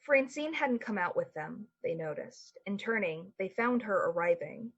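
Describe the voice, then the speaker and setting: A woman with a breathy voice delivering scripted, dramatic narration for a suspense radio play. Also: medium pitch, expressive, normal speed, dull audio. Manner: dramatic, literary storytelling.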